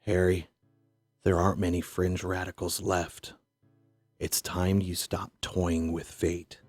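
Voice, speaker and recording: A man acting out a stern, weary police officer in an audio drama. His voice is very low and slightly husky. He delivers pointed, lecturing warnings with little rise or fall. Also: little pitch movement, takes it slowly, no room sound, soft background music.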